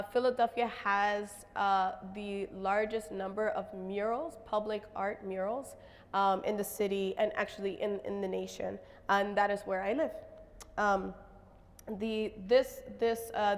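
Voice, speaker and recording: A woman with a slightly raspy voice presents her artwork to an audience, pausing often with fillers. Her pitch stays fairly flat. The sound carries the long reverb of a large hall. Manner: halting, many fillers. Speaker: visual artist presenting her work. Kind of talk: stage presentation.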